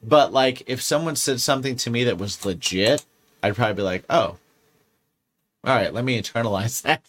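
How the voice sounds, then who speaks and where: A man co-hosting a casual podcast, talking through his thoughts out loud. He rambles, restarts his sentences and swears freely, in a relaxed everyday tone. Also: medium pitch, normal speed. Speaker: podcast co-host. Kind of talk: casual chat.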